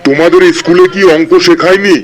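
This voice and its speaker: A man's voice: sits high, fairly even intonation, fast talker, natural voice, rough recording. A man voicing an easily flustered, exasperated schoolteacher in a comedy cartoon, speaking theatrically.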